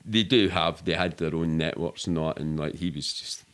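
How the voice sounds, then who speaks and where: Man, low-pitched voice, middle-aged, Glaswegian accent, casual storyteller, candid, rambling, sweary. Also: moderate pace. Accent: Glaswegian Scottish. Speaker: podcast guest.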